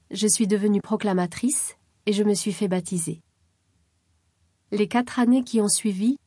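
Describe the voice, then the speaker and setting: A woman calmly reading a first-person life story aloud as scripted narration. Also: medium pitch, no echo, studio quality. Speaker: reader of a first-person article.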